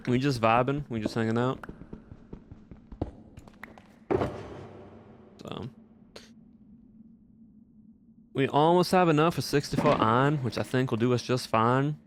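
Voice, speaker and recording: A man's voice: medium pitch, a little fast, background noise, lightly acted. A man voicing an easygoing, folksy Southern cowboy with a blended American Southern drawl, chatting casually and courteously on a game livestream. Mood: laid-back, musing. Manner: folksy, courteous, uses y'all and ain't. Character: folksy male Southern cowboy gunpowder-factory owner, easygoing. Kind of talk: casual in-character livestream chat.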